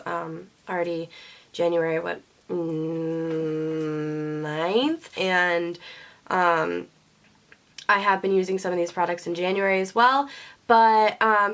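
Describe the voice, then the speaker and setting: A woman's voice: lowish voice, a bit bright. A young woman vlogging her beauty favorites to her camera, chatty and full of ums, a little sniffly from a sinus infection. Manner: chatty, many ums and likes. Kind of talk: casual product favorites chat. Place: indoors, seated before a camera. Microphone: camera mic.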